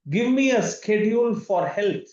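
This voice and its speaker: A man's voice: high-pitched, unhurried, darkish tone. A man in his thirties from India, presenting a live online demonstration with enthusiasm and frequent repeated words over a video call. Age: 30s. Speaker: webinar presenter. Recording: echoey room, dull lo-fi sound.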